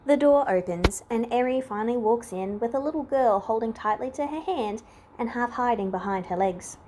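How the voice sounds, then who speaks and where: A woman's voice: a little high, normal speed, noisy background. A woman reading scripted fiction aloud as a narrator. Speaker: podfic reader.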